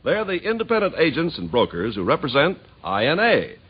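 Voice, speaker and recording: A genial male radio announcer with a husky, mid-pitched voice that swings widely. He delivers folksy scripted banter on a slightly muffled vintage recording. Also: huge pitch swings, fairly quick.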